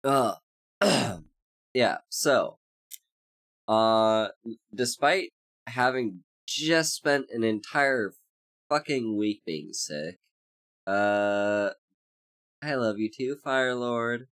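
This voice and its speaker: A VTuber streamer in a casual livestream chat, congested and hoarse, rambling with many fillers while greeting chat by name. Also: mid-range voice, animated, drawn-out words, pauses often, fairly bright tone.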